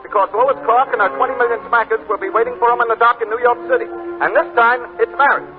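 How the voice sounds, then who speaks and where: Brash, high-pitched radio gossip reporter. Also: male voice, fast talker. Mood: brash, excited. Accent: American. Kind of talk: 1940s radio gossip bulletin. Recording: tinny, narrow 1940s radio with music.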